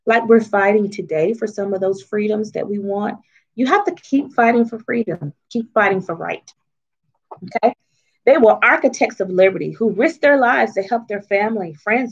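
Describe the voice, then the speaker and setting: A woman reads a picture book aloud to children as its author, in an expressive, teacherly way, pausing to explain and ask questions. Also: mid-range voice, slightly rough, lo-fi sound. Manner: teacherly, asks questions and checks in often.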